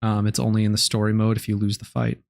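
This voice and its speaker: A young man streaming a game live, chatting casually in a low-key, relaxed way with many ums and restarts. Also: fast talker, gravelly, no echo. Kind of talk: casual live-stream chat while gaming.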